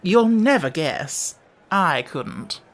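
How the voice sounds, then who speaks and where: A man's voice: on the high side, unhurried, a bit bright. A man voicing a haughty, self-assured storybook unicorn who talks in a languid, commanding way. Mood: breezy, eager. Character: haughty, carelessly confident unicorn.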